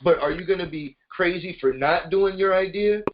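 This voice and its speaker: A man's voice: high voice, moderate pace, a little hiss or hum. A man hosting a casual motivational group call, speaking informally and hyping up his listeners directly. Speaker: conference call host. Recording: muffled lo-fi conference call.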